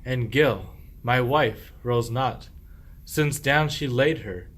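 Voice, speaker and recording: A man acts a sly sheep thief in an old verse radio play. He speaks slowly, in archaic rhyme, putting on the airs of an innocent, hospitable husband. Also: medium pitch. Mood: pleading innocence.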